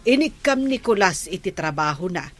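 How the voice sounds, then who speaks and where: A woman with an Ilocano Filipino accent playing a measured village woman in a radio comedy drama. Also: on the low side, big pitch swings, soft background music. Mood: approving.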